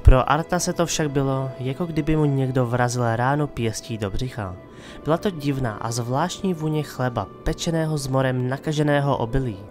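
A man hosting and narrating a scripted, dramatic fantasy story. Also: medium pitch. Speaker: narrator and host. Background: faint background music.

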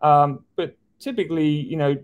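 A middle-aged man guesting on a video call from an office, speaking in a thoughtful, measured way. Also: a little high, darkish tone, smooth. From outside the US. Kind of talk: casual chat on a video call. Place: office indoors. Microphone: video call webcam.

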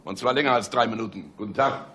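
An actor playing a bossy older chief inspector in a radio play, dry and sarcastic. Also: male voice, fairly low pitch, quick pace, sharp tone, a little hiss or hum. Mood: sardonic, commanding. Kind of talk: German radio play acting.

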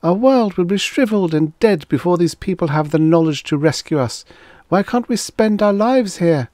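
A man's voice: fairly high pitch, very animated, takes it slowly, a little mellow, breathy edge, no room sound, studio quality, voice pushed up, full character voice. A man voicing a flighty, dreamy female alien creature of air, sighing and plaintive, in a story narration. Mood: mournful, impatient.

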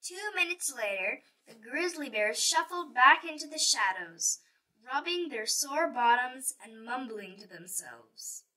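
A woman's voice: high-pitched, lively intonation, a little slow, bright, forceful tone, distant mic, rough recording. A woman reading a children's picture book aloud expressively, with dramatic storytelling emphasis.

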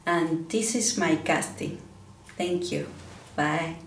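A woman's voice: sits low, takes it slowly, slight background noise. A woman in her late thirties with an Argentine Spanish accent, introducing herself for an audition. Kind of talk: audition slate. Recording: echoey room, distant microphone.

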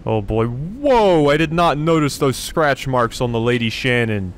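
A man talks casually through a video game, thinking aloud. His voice is medium in pitch, with faint game ambience behind it. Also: animated, unhurried, slight background noise. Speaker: let's play commentator.

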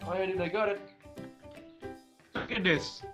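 A man's voice: sits high, fairly even intonation, unhurried, bright, forceful tone, soft background music. A young man from France with a French accent, joking and teasing in casual English chat. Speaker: video chat stranger.

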